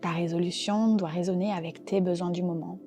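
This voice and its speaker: Woman, mellow warm timbre, calm gentle guide, relaxation meditation, unhurried phrasing, light music in the background. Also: on the low side. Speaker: yoga nidra guide. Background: soft background music.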